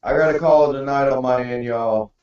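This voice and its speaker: A man's voice: mid-range voice, a little fast, a little mellow. A man chatting casually in an online group hangout, recorded echoey, muffled and from a distance with voices behind. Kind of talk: casual chat.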